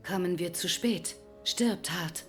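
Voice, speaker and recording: An actress playing a brisk, scornful young female double agent in a war game cutscene, cool and curt. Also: fairly low pitch, over music. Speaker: video game character in cutscenes. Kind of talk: dramatic game cutscene dialogue.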